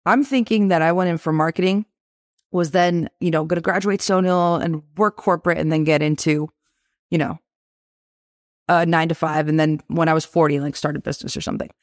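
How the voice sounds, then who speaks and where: A woman's voice: low voice, no echo. Chatty young woman, dry close sound. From Albania. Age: 20s. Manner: energetic, chatty, quick to laugh. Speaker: guest. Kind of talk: casual interview talk.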